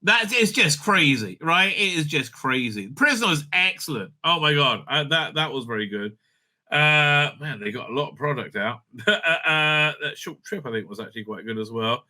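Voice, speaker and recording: Man, very sharp bright voice, slightly high pitch, blunt reviewer, rambling, opinionated, repetitive, sweary. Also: animated, moderate pace. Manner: blunt, dismissive, repeats himself, sweary. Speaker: YouTube host and reviewer. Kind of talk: rambling, opinionated off-the-cuff commentary.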